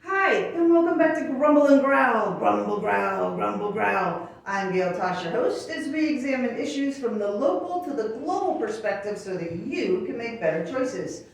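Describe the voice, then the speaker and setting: A woman's voice: fairly high pitch, big pitch swings, moderate pace, hardly any pauses, slight background noise. A woman from the United States hosting a talk show interview in a chatty way, with fillers and self-corrections, recorded from a distant mic in an echoey room.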